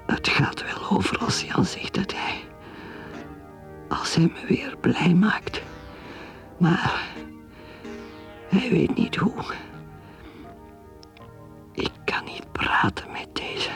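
An actress playing a troubled woman drinker in a radio drama, thinking aloud in halting, intense, breathy whispers. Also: lowish voice, sing-song, soft background music, heavily acted. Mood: bitter, distressed. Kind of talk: radio drama interior monologue.

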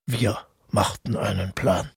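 An actor playing a brooding, isolated man haunted by dreams and guilt, in a measured, introspective radio drama monologue with a gravelly, husky voice. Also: fairly low pitch, steady tone, no room sound, clean studio sound, heavily acted. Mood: grimly resolute.

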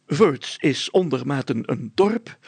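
A man voicing a short, fat, pompous village mayor, gruff, stammering and suspicious, congested with hay fever, for a storybook. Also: medium pitch, expressive, slow, darkish tone, no echo, pitched above the performer's own voice, full character voice. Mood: indignant. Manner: gruff, stammering, self-important.

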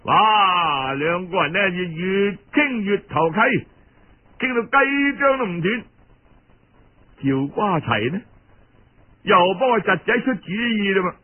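A man's voice: high-pitched, expressive, slow, pauses often. A man with a Cantonese accent narrating a tale in traditional oral storytelling style. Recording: dull, band-limited radio-style recording.

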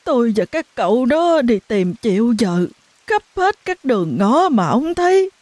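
A woman's voice: a little high, very animated, unhurried, a little mellow, no room sound, voice pushed up. A woman voicing a frantic older village mother who is crying, wailing and pleading. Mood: sobbing, frantic.

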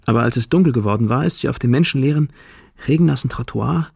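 An actor playing a lovelorn, troubled young man reading his diary in a radio drama, introspective, measured and confiding. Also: mid-range voice, a little mellow, no echo, clean studio sound. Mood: pensive. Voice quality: husky, gravelly.

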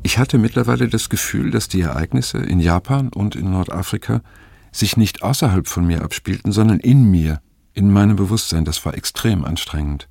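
Gravelly older man, reflective narrator. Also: sits low, moderate pace, mellow, dry recording, clean studio sound. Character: reflective older male narrator recalling his youth. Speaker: first-person narrator. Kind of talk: audio drama narration.

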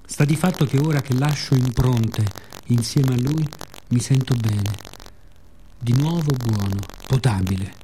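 A man with a soft, dark timbre and a mid-range pitch performs a poetic monologue for radio theatre. He phrases deliberately and dramatically, leaning on pauses and repeated words. A faint layer of noise sits behind the voice. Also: poor audio. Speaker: actor performing own monologue.

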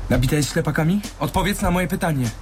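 A man's voice: on the high side, fast talker, over music, close to an everyday voice. An actor playing a cornered, defensive man in a radio drama, tense and insistent. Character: cornered, defensive convicted man, agitated and demanding.

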